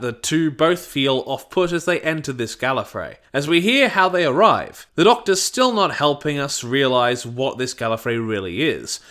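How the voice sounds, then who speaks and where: A man delivering a scripted, opinionated review as narration, in an expressive manner. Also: mid-range voice. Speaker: YouTube audio drama reviewer.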